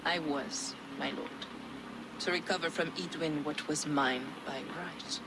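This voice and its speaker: A woman's voice: sits low, fairly even intonation, unhurried, very noisy. An actress playing a scheming, righteous female holy warrior in a game cutscene, insistent and accusatory. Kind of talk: video game cutscene acting.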